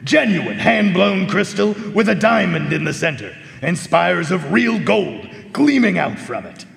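A high, very creaky-voiced gentleman detective, played by a man in a radio mystery. He lays out his case formally and methodically, with a showman's flourish, in a big reverberant hall. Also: sing-song, heavily acted. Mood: theatrical, explaining. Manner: formal, measured, showman-like explaining. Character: theatrical male private eye, clever, courteous, methodical. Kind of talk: live radio mystery drama acting. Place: live performance hall. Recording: reverberant stage in a large hall.

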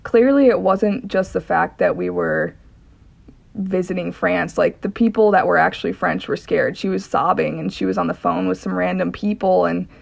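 A woman's voice: on the low side, fairly even intonation, normal speed, gravelly. A young American woman casually recounting a shared experience. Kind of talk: casual recollection.

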